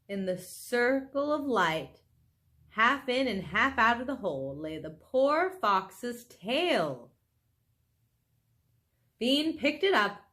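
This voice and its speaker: A woman reading a children's story aloud in a dramatic, expressive way. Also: a little high. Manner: animated, dramatic, with exclaimed asides to listeners. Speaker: storybook reader.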